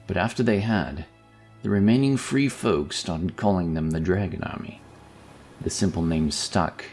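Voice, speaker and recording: A man's voice: low-pitched, unhurried, darkish tone. A man reading scripted narration for a fantasy audio story. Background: background music.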